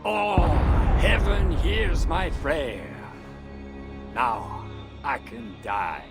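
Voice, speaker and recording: An actor playing a drunken, despairing priest who rambles theatrically in lofty words for a video game. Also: male voice, a little high, very slow, over loud music. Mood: rapturous. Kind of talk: dramatic video game acting.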